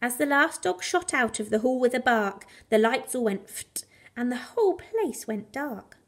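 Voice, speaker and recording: Female storyteller, high-pitched, expressive children's bedtime read, animated rhyming delivery with dramatic emphasis. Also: moderate pace. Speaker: primary school teacher reading a bedtime story.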